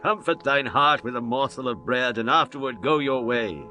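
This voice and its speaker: A man's voice: medium pitch, lively intonation, moderate pace, bright, forceful tone, soft background music. An actor playing a genial, insistent biblical father-in-law, entreating in archaic speech in a dramatized scripture reading. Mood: warm entreaty.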